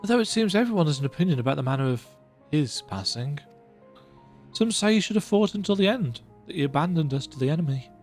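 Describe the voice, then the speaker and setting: A tired young soldier, played by a woman, earnest and quietly resigned, his delivery rising and falling in lively swings. Soft music sits underneath. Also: low-pitched, fast talker. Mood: weary earnest. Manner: earnest, reflective, softly resigned.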